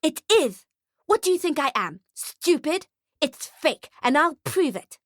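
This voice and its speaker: A woman voicing a mean, demanding rich little girl in a storybook, defiant and petulant, given to shouting. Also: sits high, sing-song, measured pace, dry recording, clean studio sound, voice pushed up. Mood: rude, shouting.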